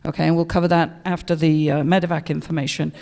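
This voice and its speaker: Loud-voiced woman presenting in an echoing hall. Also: low voice, little pitch movement, fast, creaky. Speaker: insurance representative giving a presentation. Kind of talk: presentation to a club audience. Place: indoor meeting room. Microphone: microphone. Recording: long reverberant room sound.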